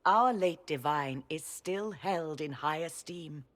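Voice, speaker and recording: A woman's voice: deep voice, takes it slowly, fairly bright tone. A woman playing an earnest, insistent church mother in a video game, formal and solemn in her speech. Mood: solemn, pleading. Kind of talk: video game dialogue acting.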